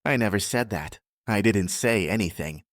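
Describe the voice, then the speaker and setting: A man narrating a scripted first-person horror audiobook in a measured, deliberate way. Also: fairly low pitch, animated, no echo, studio quality.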